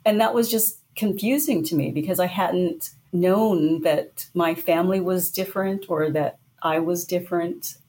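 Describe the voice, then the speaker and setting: Middle-aged woman guest, thoughtful storyteller, a bit low pitched. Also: a little slow, few pauses, mellow. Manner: thoughtful storytelling, light laughs. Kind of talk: conversational interview.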